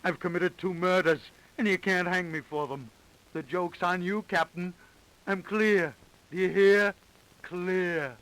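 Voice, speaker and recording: An actor playing a defiant, gloating, half-crazed confessed killer in a theatrical 1930s radio crime drama. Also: male voice, sits high, fairly even intonation, measured pace, fairly bright tone, low-quality recording. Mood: gloating, manic. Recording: vintage 1930s radio recording.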